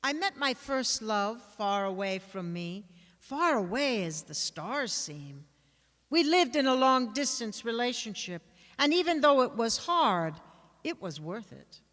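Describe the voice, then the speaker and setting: Slow, dramatic woman reader in echoing hall. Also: a little high, very animated, fairly bright tone. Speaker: actor reading aloud. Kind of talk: dramatic literary reading. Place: large indoor hall at a live reading. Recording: echoey hall with long reverb.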